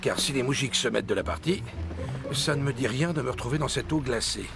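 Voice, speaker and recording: Cautious henchman, gravelly, cartoon, music underneath. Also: medium pitch, animated, brisk. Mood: wary. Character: cautious crook henchman, wary of trouble. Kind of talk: French cartoon voice acting. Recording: music behind the voice.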